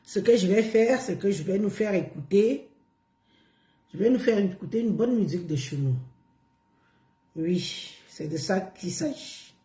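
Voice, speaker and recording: A hoarse woman chatting on a live stream, repeating herself and coaxing viewers along with drawn-out words. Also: mid-range voice, lively intonation, mellow, roomy sound. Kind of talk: casual live stream chat.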